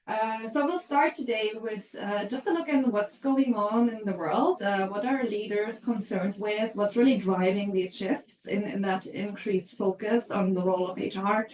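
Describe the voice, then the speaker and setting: A woman's voice: medium pitch, normal speed, hardly any pauses, darkish tone, roomy sound, distant mic, low-quality recording. A middle-aged woman from Germany with a German accent, presenting an HR webinar in a conversational way, with many fillers.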